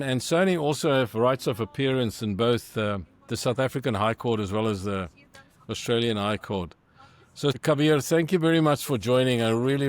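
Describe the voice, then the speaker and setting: A man hosting an interview podcast, earnestly framing questions and repeating words as he goes. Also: mid-range voice. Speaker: podcast host.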